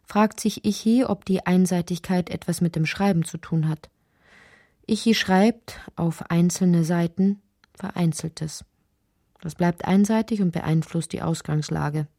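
An actress narrating a strange, inward literary radio drama in deliberate, short emphatic phrases. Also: female voice, lowish voice, mellow, dry recording, clean studio sound. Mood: reflective. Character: woman narrator of a strange inward dreamlike tale.

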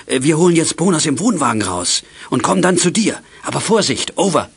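Lively, commanding gang leader. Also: male voice, on the high side, brisk, vocal fry, noisy background. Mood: resolute. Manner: directing, matter-of-fact. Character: calm, commanding male leader of young sleuths. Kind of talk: German children's radio play acting.

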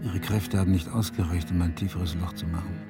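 An actor playing a stern, weary police detective in a crime radio play, terse and brooding. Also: male voice, low voice, steady tone, fairly quick, over loud music, close to an everyday voice. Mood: brooding, reflective. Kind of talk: German radio drama acting, inner monologue.

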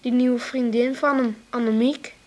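A woman's voice: fairly high pitch, fairly even intonation, a little slow, a little mellow, lightly acted. A female-sounding performer in a radio drama playing a sulky, blunt young son. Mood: wary, grumbling. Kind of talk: naturalistic Dutch radio drama acting.

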